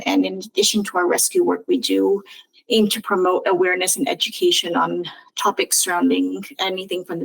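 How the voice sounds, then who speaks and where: Halting woman hosting a video call. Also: mid-range voice, gravelly, poor audio. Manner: hesitant, with frequent "um" fillers and a slow, careful delivery. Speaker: charity board member hosting a webinar. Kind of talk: webinar introduction.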